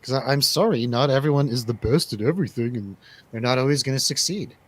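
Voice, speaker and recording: A man's voice: medium pitch, fast talker. A man in a casual, opinionated film review chat, repeating his phrases for emphasis. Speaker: movie review panelist.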